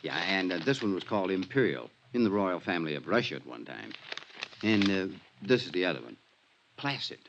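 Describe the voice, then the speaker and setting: A man's voice: low-pitched, big pitch swings, fairly quick, creaky, background noise, full character voice. An actor playing a big, talkative middle-aged insurance man in a 1950s radio drama, emphatic and folksy, with an American accent. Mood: genial, rueful. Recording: dull vintage radio recording.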